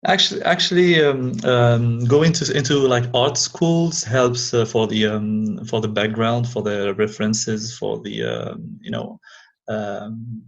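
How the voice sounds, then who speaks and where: A man from Algeria, a guest in a casual interview over a remote call, speaking English haltingly and searching for words. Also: medium pitch, expressive, vocal fry, lo-fi sound.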